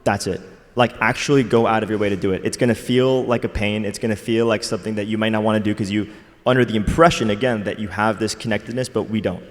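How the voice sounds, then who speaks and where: Panel speaker, man, lowish voice, direct and motivational, reverberant hall. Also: steady tone, fast talker. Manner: motivational, direct, with casual fillers. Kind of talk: conference panel discussion. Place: conference hall. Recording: long reverb of a conference hall.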